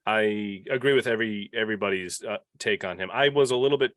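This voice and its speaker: A man's voice: fairly low pitch, fast talker, a bit bright, no room sound. A man talking casually as a podcast panelist, often restarting the beginnings of his sentences. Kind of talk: casual panel discussion of a TV series.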